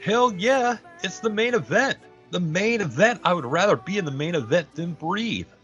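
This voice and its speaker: A man's voice: high voice, expressive, normal speed, fairly bright tone, lo-fi sound, faint music behind. A man acting in a comedic audio play as a petulant, self-important wrestling referee with a melodramatic manner. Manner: indignant, melodramatic.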